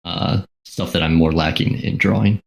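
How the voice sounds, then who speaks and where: A man with a very low voice gives advice in a measured, explanatory way. A gravelly fry runs through his speech. He talks casually, dropping in the odd "uh". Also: steady tone. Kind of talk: casual panel chat.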